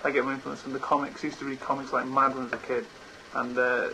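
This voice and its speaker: A man's voice: medium pitch, level tone, quick pace, bright, forceful tone. Hesitant man, noisy recording. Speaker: interview guest, designer. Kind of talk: casual interview chat.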